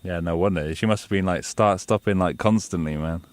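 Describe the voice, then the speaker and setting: Deep-voiced, chatty radio host. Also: male voice, fairly quick, no echo. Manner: enthusiastic, chatty, says "man" a lot. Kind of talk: radio interview.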